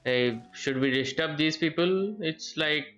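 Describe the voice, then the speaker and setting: Slow, halting male game streamer. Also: on the high side, fairly bright tone, faint music behind. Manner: halting, searching for words. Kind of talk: casual live gameplay commentary.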